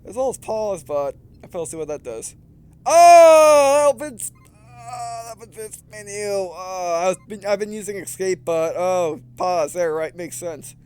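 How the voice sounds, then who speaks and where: A man in his twenties giving casual, halting let's play commentary, often repeating phrases as he goes. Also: sits high, animated, a little mellow, clean tone. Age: 20s. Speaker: gaming video host. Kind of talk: casual let's play commentary, reading game text aloud.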